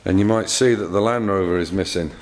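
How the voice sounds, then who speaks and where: A man's voice: low-pitched, fast talker. A middle-aged English man with an English accent, chatting casually about his car work with many fillers and repeated words. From England. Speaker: car restorer vlogger. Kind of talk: casual workshop vlog. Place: garage workshop. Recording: echoey garage with faint noise.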